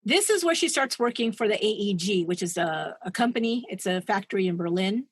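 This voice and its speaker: A woman's voice: medium pitch, animated, a little fast, breathy edge, dry recording. A woman co-hosting a podcast, retelling a true-crime story in a chatty, digressive way and laughing at her own asides. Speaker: podcast co-host.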